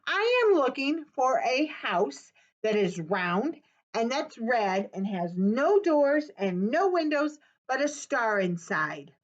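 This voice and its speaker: A woman voicing a small, bored boy who pleads and asks questions in a high, whiny voice. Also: big pitch swings, slow, heavily acted. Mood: pleading. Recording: dull webcam audio.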